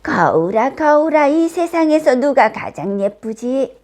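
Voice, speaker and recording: A woman's voice: high-pitched, sing-song, a little slow, a little mellow, pitched above the performer's own voice. A woman voicing a vain, imperious wicked queen in a children's fairy tale, theatrical and commanding.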